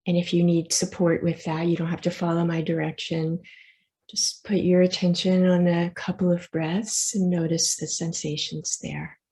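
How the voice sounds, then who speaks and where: A woman leading a guided meditation as the teacher, speaking softly and reassuringly in gentle, unhurried instructions with pauses. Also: on the low side, level tone.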